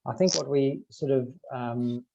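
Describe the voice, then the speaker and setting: A man's voice: medium pitch, unhurried, creaky, dark tone, dull audio, a little hiss or hum. A South African man in his forties reflecting on a panel over a video call with earbuds, often repeating words as he thinks. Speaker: business panelist. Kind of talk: online panel discussion.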